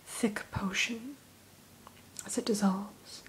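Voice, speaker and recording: A woman speaks entirely in a soft, unvoiced whisper, close to the microphone, for an ASMR roleplay. She sits at a middle pitch and talks gently and slowly as a dragon hatchery keeper, guiding the listener through each dragon. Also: fairly even intonation, a little hiss or hum, rough recording. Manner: gentle, storytelling tone addressing the listener.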